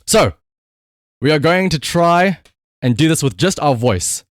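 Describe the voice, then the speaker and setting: A man doing casual livestream gaming commentary in an excitable, emphatic way, prone to shouting and swearing. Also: fairly high pitch, a little slow, no echo, studio quality. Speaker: live streamer.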